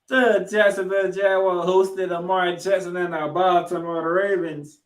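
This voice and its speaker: A man co-hosting a sports podcast, recapping football and reading stats with emphatic, drawn-out key figures. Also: sits high, little pitch movement. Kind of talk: football recap commentary with stat read-outs. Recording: echoey, roomy sound.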